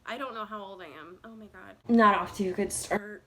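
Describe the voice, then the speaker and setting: A woman's voice: mid-range voice, a little fast, sharp tone. Chatty rambling woman in her thirties. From Indiana, USA. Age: 30s. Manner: rambling and chatty, with many fillers and self-conscious laughs. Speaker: vlogger telling her own story. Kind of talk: casual storytime chat to camera. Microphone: phone camera.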